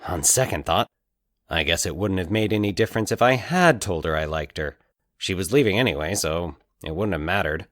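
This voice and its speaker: A man's voice: deep voice, fairly bright tone. A man playing a wistful young man in an audio drama, earnest and hesitant in manner. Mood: wistful, musing. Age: young adult. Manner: halting, earnest.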